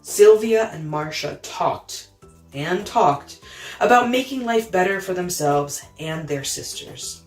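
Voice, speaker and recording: Animated low-voiced woman reading a storybook. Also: takes it slowly, soft background music. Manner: animated, dramatic, explains the pictures in asides. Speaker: storyteller reading a children's picture book aloud. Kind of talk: expressive storytime reading with picture explanations.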